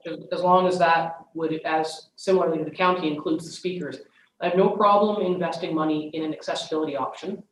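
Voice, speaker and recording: A man's voice: fairly high pitch, reverberant, slight background noise, rough recording. A man chairing a council meeting, frankly stating his views and calling on others by name. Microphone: video conference mic.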